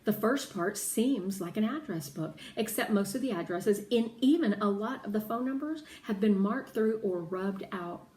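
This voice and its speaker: A woman narrating a children's novel aloud with deliberate, dramatic phrasing, in a roomy recording. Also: medium pitch, dark tone. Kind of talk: expressive children's audiobook narration. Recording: roomy echo, faint background noise.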